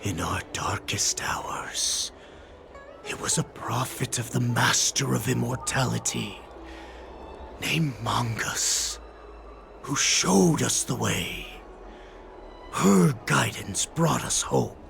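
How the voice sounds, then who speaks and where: An actor playing a savage, fanatical wolf-like raider in a video game, with a snarling, theatrical delivery. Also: male voice, mid-range voice, expressive, over music, exaggerated voice. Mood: fervent. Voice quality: heavily gravelly, snarling. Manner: slow, theatrical, swinging into shouts. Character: savage wolfish raider, bloodthirsty, fanatical servant of his lord. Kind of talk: dramatic video game voice acting.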